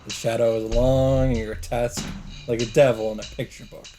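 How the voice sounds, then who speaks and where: A man's voice: medium pitch, flat delivery, moderate pace, noisy background. A man reading visual novel narration aloud as a let's play host, with casual asides.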